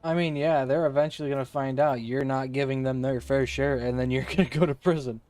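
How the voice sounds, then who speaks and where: A man's voice: mid-range voice, steady tone, fairly quick, darkish tone. A man giving casual gameplay commentary as a streamer, half talking to himself and half chatting to viewers. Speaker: game streamer. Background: game music.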